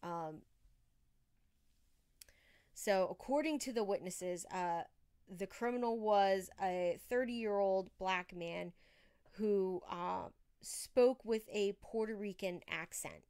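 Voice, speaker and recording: A woman's voice: medium pitch, slow, a little mellow, clean tone. A woman hosting a true crime podcast, telling the story casually with informal, emphatic asides.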